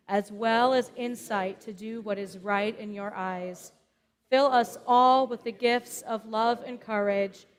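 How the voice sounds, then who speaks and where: Slow-paced woman prayer leader in echoing hall. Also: on the high side. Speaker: cantor leading a synagogue service. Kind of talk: leading congregational prayer. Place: synagogue sanctuary. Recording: long echo of a large hall.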